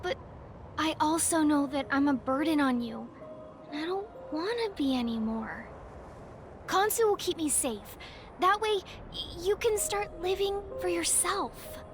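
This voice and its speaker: A woman voicing a gentle, selfless teenage girl in a video game, earnest and soft-hearted. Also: sits high, expressive, unhurried, background noise. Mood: tender resolve. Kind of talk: anime-style video game voice acting.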